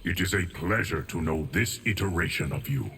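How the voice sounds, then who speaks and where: An actor playing a grave, weary and dignified inhuman male warrior in a video game, gravelly and measured. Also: low-pitched, a bit bright, exaggerated voice. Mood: solemn. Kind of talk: dramatic video game dialogue.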